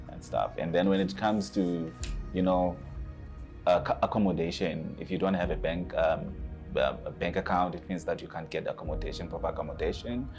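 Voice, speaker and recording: Low-voiced man, earnest testimony. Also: gravelly, a little mellow. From Zimbabwe. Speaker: asylum seeker telling his story. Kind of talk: personal testimony to camera.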